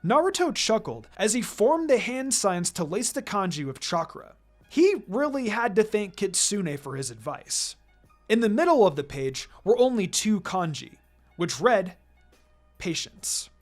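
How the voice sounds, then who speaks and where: An expressive storyteller reads fan fiction aloud in a medium-pitched voice. The delivery moves up and down in lively fashion, with music playing behind the narration. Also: unhurried. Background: background music.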